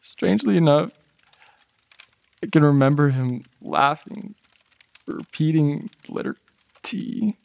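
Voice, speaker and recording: A man playing a tough but wounded teenage football player with a gravelly voice in a dramatized audiobook. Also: a little high, expressive, slow pace, pauses often, a little mellow, no room sound, exaggerated voice. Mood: pained recounting.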